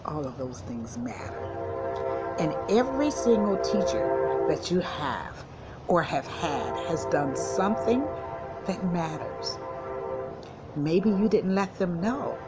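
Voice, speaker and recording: A woman school counselor speaking to young children in simple, encouraging words with deliberate emphasis, as in a read-aloud lesson. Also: lowish voice, sing-song, loud music behind the voice.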